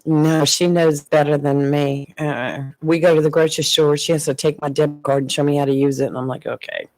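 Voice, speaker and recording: A woman's voice: sits low, steady tone, fairly quick, darkish tone, no room sound, poor audio. A middle-aged or older woman, chatting casually as a radio co-host. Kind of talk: casual morning radio banter.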